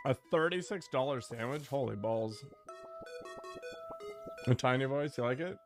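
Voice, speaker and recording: A man's voice: medium pitch, animated, unhurried, music in the background. Joking, crude man streaming a game. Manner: joking, crude, self-mocking. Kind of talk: casual gameplay commentary to chat.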